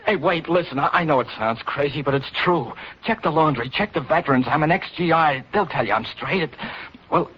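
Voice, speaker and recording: An American man playing a frightened, plain-spoken young working man, urgent and halting, in 1940s radio drama. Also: on the high side, breathy edge. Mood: panicked. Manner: urgent, stammering. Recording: muffled, noisy vintage radio recording.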